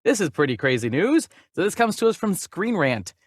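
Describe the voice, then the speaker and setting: A man's voice: high-pitched, very animated, a little fast, slightly rough, no room sound, clean studio sound. A man co-hosting a casual movie news podcast, chatty and enthusiastic in a geeky way. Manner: chatty, enthusiastic self-described geek. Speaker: podcast co-host.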